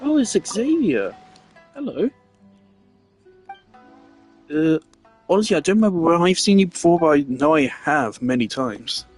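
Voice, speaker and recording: A man's voice: a little high, big pitch swings, unhurried, long pauses, darkish tone. A man giving casual game commentary, rambling and thinking aloud as he plays. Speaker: gamer. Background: faint game music.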